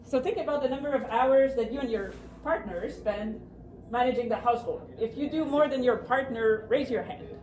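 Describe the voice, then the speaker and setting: A woman with a French accent gives an informal live workshop as presenter, talking quickly and chattily with self-deprecating humor. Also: on the high side, a little mellow, a little hiss or hum, rough recording.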